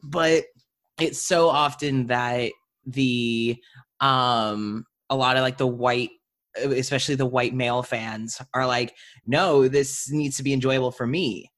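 A man's voice: medium pitch, steady tone, slow pace, a bit bright. A man hosting a podcast, talking casually and conversationally with a guest. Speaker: podcast host. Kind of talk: casual interview chat.

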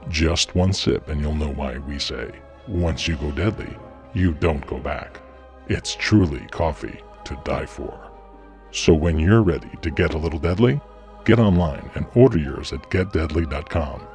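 A man with an extremely deep voice reads a scripted radio-style ad, punchy and selling hard. Faint music plays underneath him. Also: a little slow, a little mellow. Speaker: ad announcer.

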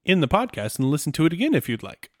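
A man's voice: on the high side, big pitch swings, quick pace, no echo. Man casually co-hosting a podcast, dry sound. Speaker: podcast co-host. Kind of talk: casual episode recap chat.

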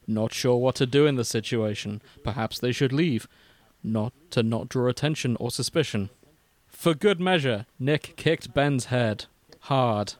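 British man, podcast reader: close dry sound, mid-pitched, comic dramatic narration broken by deadpan asides. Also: no room sound. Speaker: podcast host reading fan fiction aloud. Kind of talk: dramatic comic reading with casual banter.

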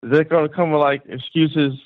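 A man's voice: steady tone, fairly quick, no room sound. Hesitant male comedian guest, thin phone-like audio, dry sound. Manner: hesitant, full of "like" and "uh", pitching ideas. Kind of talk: casual comedy podcast chat.

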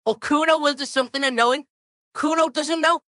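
A man's voice: extremely high pitch, fairly even intonation, brisk, pushed and bright, dry recording, clean studio sound, voice pushed up. A man voicing a bratty, threatening little mascot who talks about itself in the third person. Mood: playful menace. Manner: speaks of self in third person.

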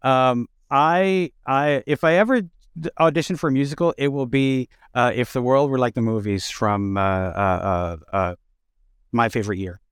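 A man hosting a casual interview, halting as he searches for his words. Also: mid-range voice, fairly even intonation, smooth, dry recording, studio quality. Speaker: interview host.

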